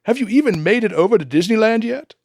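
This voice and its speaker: Man as an earnest, high-voiced deputy executive. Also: lively intonation, fast, no room sound, studio quality. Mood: polite, earnest. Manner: hesitant, polite, gently corrective. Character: earnest, decent, patient male deputy executive. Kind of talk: comic radio drama acting.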